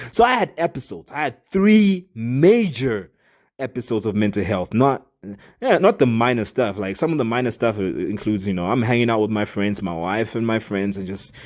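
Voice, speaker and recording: Earnest young man telling his story. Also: medium pitch. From Zambia. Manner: earnest, open, animated and emphatic. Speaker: storyteller and animator. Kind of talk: casual personal storytelling.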